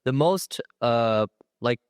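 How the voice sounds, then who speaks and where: A teenage boy, an international student, speaking slowly and searching for words. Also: mid-range voice, expressive, smooth, no echo, studio quality. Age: teens. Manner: slow, searching for words, laughs at himself. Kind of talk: student panel answers.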